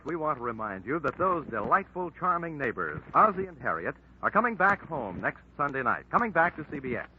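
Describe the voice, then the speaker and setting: A male radio announcer giving an upbeat station promotion in a 1940s broadcast. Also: a little high. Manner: bright, upbeat promotional delivery. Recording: muffled old radio broadcast, faint hiss.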